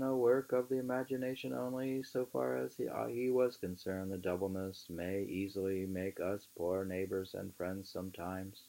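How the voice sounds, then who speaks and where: A man reading literature aloud in an emphatic, deliberate, musing way, with informal asides. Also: deep voice, mellow, low-quality recording. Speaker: reader.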